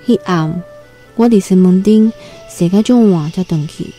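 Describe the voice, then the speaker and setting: A woman's voice: medium pitch, unhurried, mellow, soft background music, natural voice. An actress with a Taiwanese accent playing a timid, earnest young country girl new to the city in a radio drama. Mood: dismayed. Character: timid nineteen-year-old country girl new in Taipei. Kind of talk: Taiwanese radio drama, first-person storytelling and dialogue.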